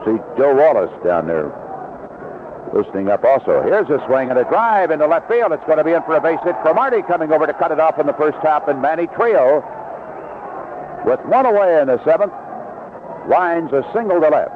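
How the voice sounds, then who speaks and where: A man does live baseball play-by-play for vintage radio. His medium-pitched voice moves up and down animatedly as he follows the game and reads promos. The broadcast is narrow and tinny, with clear noise around him. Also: sing-song, brisk. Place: outdoor ballpark broadcast booth. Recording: band-limited vintage AM radio broadcast, noisy.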